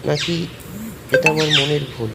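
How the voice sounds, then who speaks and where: A man's voice: on the high side, steady tone, moderate pace, very noisy. A man narrating a scripted horror story in storytelling fashion. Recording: loud music under the voice. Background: loud background music.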